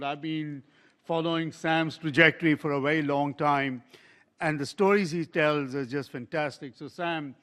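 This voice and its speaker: A man's voice: on the high side, fairly bright tone, clean tone. A man moderating a panel, effusive in his praise, with many fillers. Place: conference hall.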